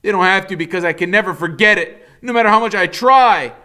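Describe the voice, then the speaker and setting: A man's voice: high-pitched, fairly quick, pushed and bright, voice pushed up. A man voicing a frightened but defiant young boy in an audiobook, speaking urgently and insistently. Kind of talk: dramatic audiobook character reading.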